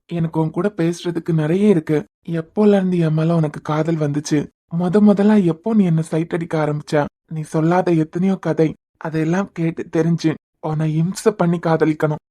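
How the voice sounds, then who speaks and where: A man playing a young man secretly in love, speaking softly and poetically. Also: a little high, close to an everyday voice. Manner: soft, tender and poetic. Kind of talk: romantic audio drama acting.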